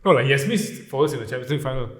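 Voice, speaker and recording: A man's voice: mid-range voice, animated, rapid-fire, fairly bright tone. A man chatting casually about football as an exclaiming fan and podcast co-host. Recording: echoey room.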